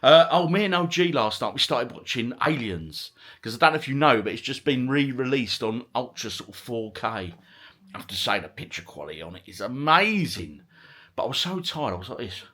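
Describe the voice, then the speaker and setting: Chatty vlogger with lively, swooping pitch. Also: male voice, brisk. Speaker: vlogger and retro gaming channel host. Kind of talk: casual vlog chat to viewers.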